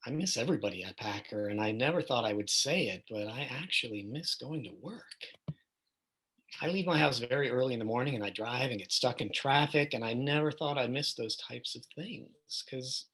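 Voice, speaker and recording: A man's voice: mid-range voice, lively intonation, moderate pace, fairly bright tone, breathy edge, rough recording. A man playing a plain-spoken, lonely school staff member in a casual documentary play. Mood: wistful. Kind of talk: casual documentary theater monologue.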